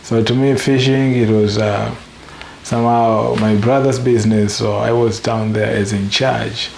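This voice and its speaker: Gravelly low-voiced man from Zanzibar. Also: fairly even intonation, darkish tone. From Zanzibar, Tanzania. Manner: halting, repeats words while searching for English. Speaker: interviewee. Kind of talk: documentary interview. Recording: echoey room with faint background noise.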